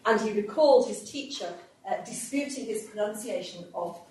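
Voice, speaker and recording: A woman's voice: mid-range voice, animated, moderate pace, darkish tone. A woman professor giving a history lecture, speaking with fillers and restarts as she works through interview extracts. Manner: hesitant, many fillers and restarts. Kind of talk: public lecture with interview clips. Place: lecture hall. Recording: echoey hall, voice from a distance.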